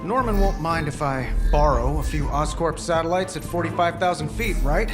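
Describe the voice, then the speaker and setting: An actor as a brash genius superhero in a video game, sarcastic and casual with his quips. Loud music plays all around his voice. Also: a little high, moderate pace, close to an everyday voice. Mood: wry, urgent. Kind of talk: game cutscene banter.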